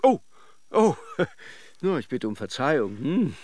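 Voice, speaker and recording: An actor playing a chatty, flirtatious banker on holiday in a radio drama, playful and teasing. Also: male voice, on the high side, very animated, measured pace, a little mellow, dry recording, clean studio sound. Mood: awkward charm. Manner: playful, gossipy, teasing self-deprecation. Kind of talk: literary radio drama acting and narration.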